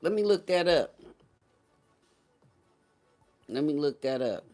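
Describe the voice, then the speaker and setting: A man's voice: on the high side, lively intonation, fairly quick, a little mellow, faint music behind. A man hosting a casual live stream, chatting with viewers in a slangy, boastful, teasing way.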